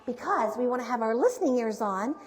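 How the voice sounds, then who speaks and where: A woman leads a children's storytime in a high, very animated voice. She is gentle and encouraging with her young listeners, and the room rings around her as she speaks. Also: a little fast. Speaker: storyteller playing Mrs. Claus. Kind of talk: children's storytime read-aloud. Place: large bright indoor room, seated before children. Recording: reverberant hall, recorded live.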